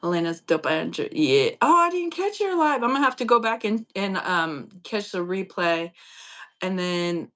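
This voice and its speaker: A woman's voice: medium pitch, big pitch swings, a little slow, fairly bright tone. Casual, chatty woman co-hosting a livestream. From upstate New York, United States. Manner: informal, slangy, chatty. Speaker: co-host of a livestream. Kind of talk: casual livestream chat with viewers. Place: remote joint livestream, speakers in different states.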